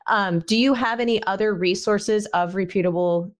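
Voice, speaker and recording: A woman's voice: mid-range voice, moderate pace. A woman in her thirties hosting a podcast over a headset, speaking in a friendly, conversational way while guiding the questions. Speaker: podcast host. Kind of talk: casual interview hosting. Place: indoor home room.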